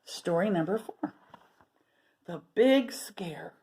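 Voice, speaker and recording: A woman storyteller reading aloud to children expressively, pausing to put questions and asides to her listeners. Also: mid-range voice, animated, warm tone, a little hiss or hum.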